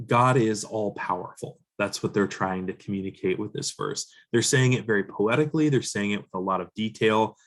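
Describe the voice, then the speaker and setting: A man with a somewhat low-pitched, slightly hoarse voice, speaking as a pastor in a sermon. He works through the text verse by verse, reading scripture aloud and then explaining it in a measured, thoughtful way. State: hoarse, losing his voice.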